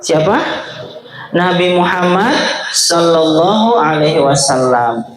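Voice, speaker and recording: A man's voice: fairly high pitch, takes it slowly, a crowd in the background. A man telling stories and teaching religion to children, playfully putting questions straight to his young listeners. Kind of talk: interactive storytelling for children. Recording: echoey room with music behind.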